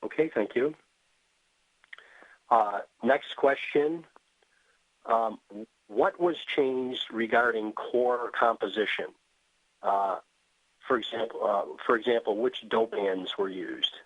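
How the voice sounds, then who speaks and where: A man's voice: mid-range voice, takes it slowly, long pauses, a bit bright, dry recording. A man moderating a webinar, politely reading out questions and announcements in a businesslike way over a tinny phone connection. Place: remote call.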